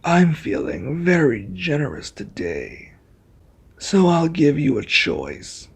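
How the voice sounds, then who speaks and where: Man voicing a husky, drawling, menacing villain. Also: a little high, darkish tone, heavily acted. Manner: taunting, threatening, drawling. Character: arrogant, menacing male enemy summoned as reluctant ally. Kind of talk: ASMR roleplay acting.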